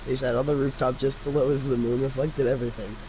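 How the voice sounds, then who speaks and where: A man's voice: medium pitch, flat delivery, fast. Young man, amateur story reader, joking asides, swearing, noisy room recording. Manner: jokey, easily sidetracked, swears. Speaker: amateur fan fiction reader. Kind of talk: casual comedic read-aloud with joking asides.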